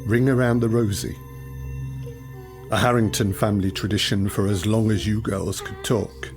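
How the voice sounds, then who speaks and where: An actor playing a menacing supernatural creature in an audio drama, speaking in a measured, mocking, theatrical way. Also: male voice, on the low side, fairly even intonation, lightly acted. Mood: sinister, calm. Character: menacing male supernatural child-taking creature, calmly gloating. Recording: music under the voice.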